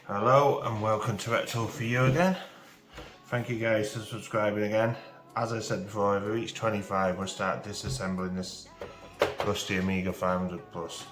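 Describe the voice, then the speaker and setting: A man, hoarse from a cold, chatting casually through a hands-on repair as channel host. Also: fairly low pitch, normal speed. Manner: relaxed, chatty, talks through each step. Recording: roomy echo with faint music.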